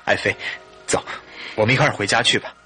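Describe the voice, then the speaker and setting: An actor playing an emotional, tender-hearted English husband in a theatrical, exclamatory Mandarin radio drama style. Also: male voice, lowish voice, fast talker, bright, forceful tone, faint music behind. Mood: tender, moved.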